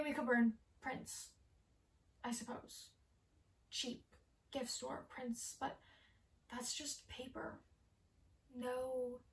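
A woman playing an obsessive, wistful pyromaniac in a theatrical monologue, hushed, halting and intense. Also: a little high. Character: obsessive female pyromaniac, wistfully enraptured by fire. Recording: distant camera microphone in a room.

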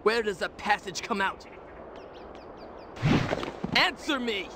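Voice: medium pitch, expressive, slow, very noisy. A performer voicing a sarcastic, impatient teenage boy warrior in a cartoon game, brash and exclamatory.

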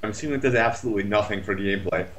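Male, somewhat low pitch, sardonic sweary complainer, casual gamer talk, faintly noisy amateur recording. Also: racing, fairly bright tone. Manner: sardonic, foul-mouthed complaining. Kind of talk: casual chat about a video game.

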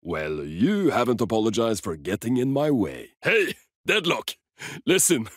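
An actor voicing a cocky, brash Swedish mercenary in a video game, with a Swedish accent and a rough, swaggering, blunt delivery. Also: male voice, mid-range voice, huge pitch swings, a little slow, dry recording, clean studio sound, heavily acted. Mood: smug, teasing. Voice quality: rough, husky.

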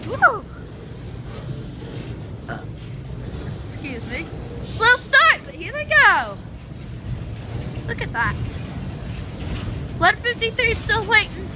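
Woman railfan, very high lively voice, unhurried, excitable live commentary on a phone outdoors, loud train noise. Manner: excitable, cheers and whoops at passing trains. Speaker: train spotter filming trains. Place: outdoors on a train station platform. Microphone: handheld phone. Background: loud passing freight trains.

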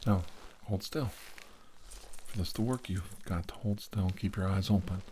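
Man acting as quietly insistent doctor, ASMR roleplay. Low, dark, soft delivery, deliberate instructions, noticeable background hiss. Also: natural voice. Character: probing, insistent male doctor examining a nervous patient. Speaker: ASMR performer playing a doctor. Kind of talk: soft-spoken ASMR medical exam roleplay. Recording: clear background hiss and noise.

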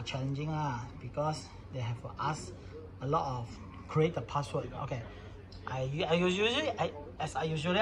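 A man's voice: fairly high pitch, low-quality recording. A man narrating an informal hands-on product tutorial in casual, phrasing. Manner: casual non-native English phrasing. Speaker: product demonstrator.

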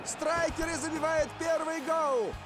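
A man's voice: very high-pitched, steady tone, loud music behind the voice. An actor playing an exuberant, easily amazed older male football commentator in a cartoon. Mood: excited. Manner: exuberant, drawn-out exclamations.

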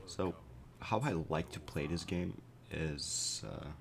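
A man's voice: deep voice, slow pace, creaky, slight background noise. A young man commenting casually on his gameplay at night, his voice cracking and strained after a concert, with many fillers as he explains.

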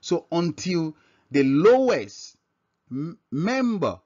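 A man with a Nigerian accent giving an informal scripture lesson as a religious teacher, halting and often repeating and rephrasing himself. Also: a little high, big pitch swings, darkish tone. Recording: dull, lo-fi microphone sound.

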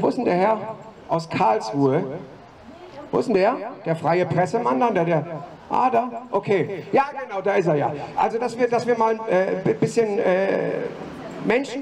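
Male street speaker, high gravelly voice, halting open-mic delivery, distant with crowd. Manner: halting, many fillers and restarts. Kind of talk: informal protest speech. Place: city street outside a broadcast building. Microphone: handheld mic, far camera.

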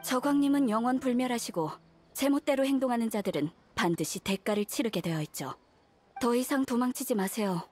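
A woman's voice: on the high side, fairly quick, a little mellow, soft background music, close to an everyday voice. A woman playing a polite, composed and ominously persuasive believer in a game drama. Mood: menacing.

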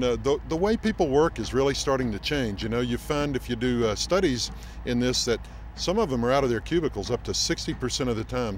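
An older man speaking informally as a project manager, interviewed on an outdoor construction site with a handheld mic. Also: medium pitch. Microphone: handheld interview microphone. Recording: faint outdoor vehicle noise.

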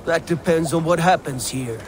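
An actor as a terse Viking raider, highish voice. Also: male voice, normal speed, a little hiss or hum, close to an everyday voice. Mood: menacing. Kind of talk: dramatic video game acting.

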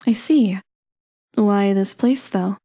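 Woman acting as slow-talking, sweet young celestial girl. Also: medium pitch. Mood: curious, teasing. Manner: coy, slow, gently teasing. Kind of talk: ASMR romance roleplay.

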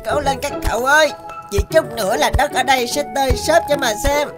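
A tiny cartoon worm, voiced by a woman, very high-pitched and excitable. It chirps eagerly to its pals, with music behind. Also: fairly quick, natural voice. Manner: cheering, excitable. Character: small eager cartoon earthworm. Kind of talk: children's cartoon dialogue.

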